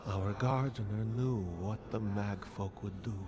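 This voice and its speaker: A wary ancient male spirit played by a man from a fantasy game speaks formally and gravely. His voice is fairly low and very slow, with clear music behind him. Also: fairly even intonation, natural voice. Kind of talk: scripted dramatic game dialogue. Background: clear background music.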